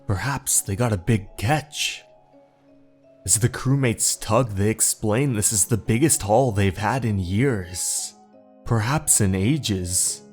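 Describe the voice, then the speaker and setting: Slow, low-voiced male horror narrator. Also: a little mellow. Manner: measured, dramatic storytelling. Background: faint music.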